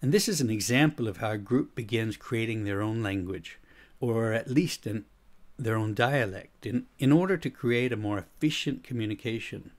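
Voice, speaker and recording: A middle-aged American man from the United States with a slight Swedish tinge to his accent, giving a measured, reflective talk as a leadership coach. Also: on the low side. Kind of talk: scripted talk on change.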